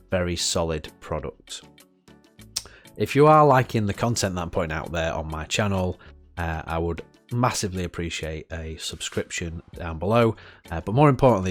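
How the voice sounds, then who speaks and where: A man with a cold, sniffly and a little stuffy, talks through a product review in a very low voice. He rambles casually, with plenty of "uh"s and restarts, while faint music plays quietly behind him. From United Kingdom. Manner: chatty, frequent "uh" fillers and restarts. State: has a head cold, sniffling.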